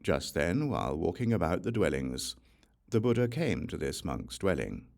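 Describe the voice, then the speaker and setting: Deep, creaky-voiced male audiobook reader. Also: sing-song, normal speed, darkish tone. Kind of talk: scripted narration of a religious text.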